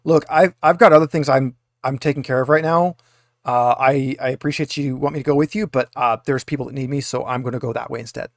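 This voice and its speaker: A man's voice: medium pitch, steady tone, dry recording, lightly acted. Guy doing a nervous lady android survivor, stumbles over words, pleading, dry close sound, tabletop game. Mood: reluctant, pleading. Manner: hesitant, halting, repeats words.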